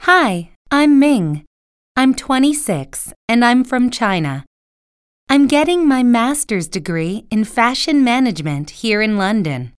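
A woman voices Ming, a bride in her twenties, telling about her wedding day. Her voice is smooth and clear with a lively melody. She speaks slowly in a dry, close recording. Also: on the high side, no room sound. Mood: happy. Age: 20s. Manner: clear, measured storytelling. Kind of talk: scripted reading passage.

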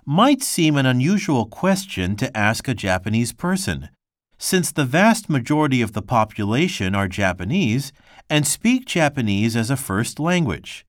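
A man reading a textbook passage aloud in a measured, scripted way. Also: mid-range voice, sing-song, dry recording, clean studio sound. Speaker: textbook reader.

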